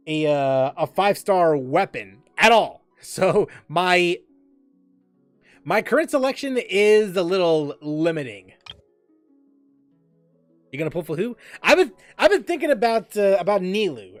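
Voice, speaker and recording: A man's voice: sits high, sing-song, unhurried, no echo, faint music behind. A man casually chatting while streaming a game, rambling with lots of fillers and restarts as he reads chat aloud.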